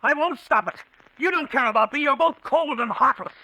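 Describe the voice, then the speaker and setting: High, bright, frantic man. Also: fairly quick, vocal fry, no room sound, exaggerated voice, poor audio. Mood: agitated. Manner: pleading, overwrought, melodramatic. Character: desperate fugitive man, agitated, fearing he is insane. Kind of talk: old-time radio drama acting.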